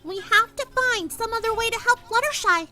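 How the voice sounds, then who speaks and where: A woman voicing an excitable, insistent young cartoon pony mare in a fan fiction reading, with emphatic delivery. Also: very high-pitched, normal speed.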